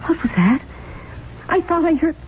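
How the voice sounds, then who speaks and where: A fretful, tender mother in a vintage radio drama. Her voice is high and full of exclamation. The recording is thin and phone-like. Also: animated, normal speed, a little hiss or hum. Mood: startled. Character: fretful, tender, emotional middle-aged mother. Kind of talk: 1970s radio drama acting.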